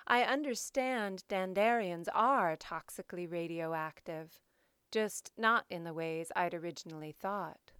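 A woman reads in a literary, scripted style, with slow and deliberate phrasing. Her voice is clear and smooth, sitting at a medium pitch. The sound is dry, with very little room around it. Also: a bit bright, dry recording. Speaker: poetry show host and reader. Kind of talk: literary poetry reading, scripted introduction.

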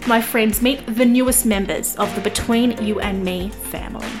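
A woman's voice: mid-range voice, normal speed, music in the background. An Australian woman working as a host, speaking with effusive enthusiasm as she introduces and interviews guests. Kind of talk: scripted introduction and friendly interview chat.

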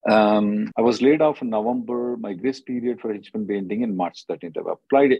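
A man's voice: on the low side, brisk, breathy edge, no echo, muffled recording. A man hosting a call-in as an attorney, advising callers in a blunt, directive way and often checking with "okay?".

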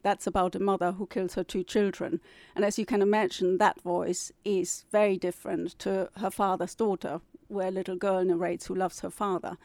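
A woman whose first language is German talks at a middling pitch. She is a publisher thinking through her answers aloud, with frequent restarts. The sound is close and dry. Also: a little mellow, dry recording. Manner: thoughtful, with many restarts and fillers. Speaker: publisher and novelist. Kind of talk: interview answers.

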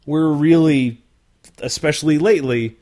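A man's voice: a little high, moderate pace, mellow. A young adult man hosting a casual podcast, loose and talkative, musing with fillers. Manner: loose and joking, then reflective with fillers. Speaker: podcast host.

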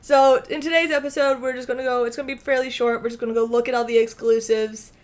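A woman's voice: fairly high pitch, flat delivery, quick pace, a little mellow. Chatty teasing young woman podcaster. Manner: teasing, chatty, laughs often. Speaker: podcast co-host. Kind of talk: casual chat. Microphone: podcast microphone with spiking levels.

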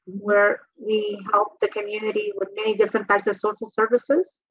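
A woman's voice: medium pitch, monotone, moderate pace, husky, no echo, muffled recording. A woman from Angola who works for a social service agency, introducing herself politely and formally on a panel. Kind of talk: self-introduction.

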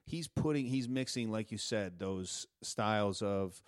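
A man hosting a casual podcast interview, relaxed and encouraging, asking easygoing questions. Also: fairly low pitch, unhurried, dry recording, clean studio sound. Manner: laid-back, encouraging, brief interjections. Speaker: podcast host.

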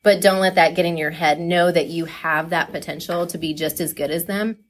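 A woman talking casually and enthusiastically as a coach being interviewed, chatty and quick to laugh. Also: fairly low pitch, steady tone.

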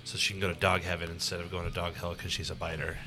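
A young man co-hosting a casual film discussion, telling the story in a rambling, slangy and animated way. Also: sits low, fast talker. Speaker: podcast co-host.

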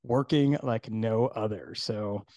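A middle-aged man from New Jersey hosting a podcast in casual chat, hoarse today and rambling with fillers. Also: lowish voice, slow, mellow, no room sound, studio quality. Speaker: podcast host.

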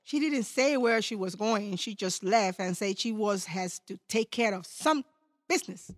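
An actress playing a dutiful, deferential household helper who answers obligingly. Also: female voice, medium pitch, animated, a little slow, no echo, big-room sound, close to an everyday voice.

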